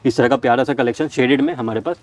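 Fast-talking man pitching fabrics. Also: a little mellow. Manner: rapid salesman patter listing items. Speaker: fabric seller. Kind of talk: product showcase walkthrough. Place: fabric warehouse floor.

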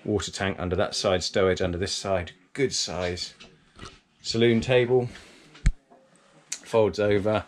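A man with a fairly low voice gives a casual review tour in short, fragmentary phrases. The recording has clear noise of wind, water and boat behind him. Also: fairly even intonation, a little slow, long pauses. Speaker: presenter reviewing a sailing yacht. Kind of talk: casual walk-through review commentary.

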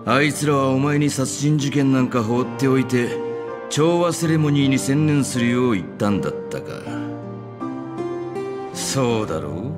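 A man voicing a cynical, rough-edged middle-aged security officer in a video game, talking coarsely with a sardonic drawl. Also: medium pitch, animated, normal speed, over music, lightly acted. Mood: taunting. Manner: coarse casual speech, lazy sardonic drawl. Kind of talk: dramatic video game voice acting.

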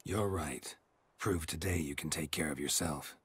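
A gruff monster hunter, with an extremely deep voice. He is terse and protective, with dry understatement suited to a fantasy game. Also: unhurried, a bit bright, no room sound, full character voice. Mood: reassuring. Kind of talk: dramatic fantasy game acting.

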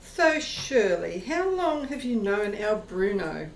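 Lady as a chatty vampire hostess, slow but lively, echoey radio play. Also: medium pitch. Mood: hostly, jolly. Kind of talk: amateur radio play acting. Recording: echoey room, faint noise.

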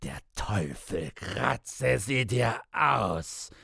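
An indignant man in a literary audiobook, raging helplessly. His voice is pushed far up high and strained rough, bellowing one exclamation and insult after another. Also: sing-song, very slow, pushed and bright, no room sound, studio quality, full character voice. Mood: frantic fury. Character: enraged, indignant man screaming helpless curses. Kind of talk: dramatised literary storytelling.

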